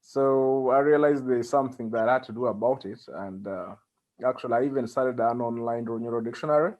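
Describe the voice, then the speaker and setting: Male interview guest, Ugandan accent, mid-pitched, conversational, halting delivery with plenty of pauses. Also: darkish tone, highs cut off. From central Uganda. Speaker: interview guest, community organizer.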